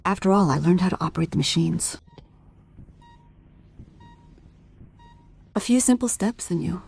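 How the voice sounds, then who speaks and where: An actress in a radio play as a distressed married woman confronting a man, husky and breathy, with halting, dramatic pauses. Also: lowish voice, expressive, darkish tone, full character voice. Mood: brooding, anguished.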